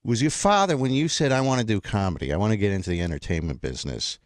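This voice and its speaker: A man hosts a radio interview, his pitch swinging in a lively way as he asks questions. He stumbles and restarts often, padding with fillers. The sound is dry and close to the studio mic. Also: dry recording. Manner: halting, many restarts and fillers. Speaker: radio show host.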